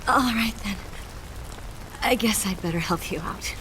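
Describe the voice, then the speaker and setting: A woman's voice: mid-range voice, a little slow, noisy background, close to an everyday voice. A woman playing a plucky, wounded companion on the run in a dramatic video game. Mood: teasing.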